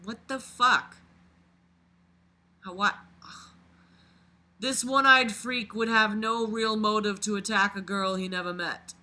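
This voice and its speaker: A mid-pitched reader with a very bright, sharp voice. The delivery is a dramatic read-aloud, expressive and exclamatory, broken up by mocking, sweary asides. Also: slow pace, pauses often. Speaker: reader and commentator.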